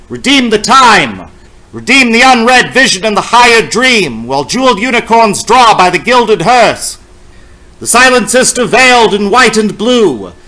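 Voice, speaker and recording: A man giving a dramatic reading of a long poem, with a slow, solemn, incantatory delivery. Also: sits high, sharp tone, rough recording. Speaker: poetry reader.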